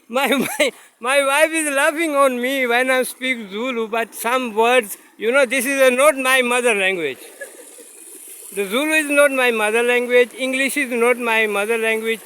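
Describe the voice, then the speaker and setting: Slow, high-pitched man, native Urdu speaker, casual outdoor phone vlog, stream noise faint. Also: pushed and bright, poor audio. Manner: halting, simple English with many fillers. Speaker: vlogger. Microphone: phone camera, no separate mic.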